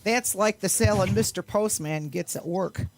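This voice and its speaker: A woman's voice: mid-range voice, normal speed, natural voice. A woman playing a curious, agreeable club newcomer in an amateur audio play.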